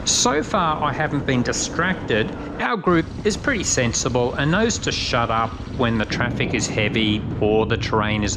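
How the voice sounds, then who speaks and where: A man's voice: mid-range voice, heavy background noise. A middle-aged man narrating a scripted motorbike channel review in an informal, matter-of-fact way. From Australia.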